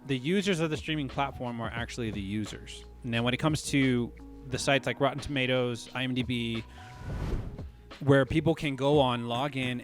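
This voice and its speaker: A man co-hosting a casual podcast chat, thinking out loud and hedging his theories. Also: medium pitch. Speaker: podcast co-host.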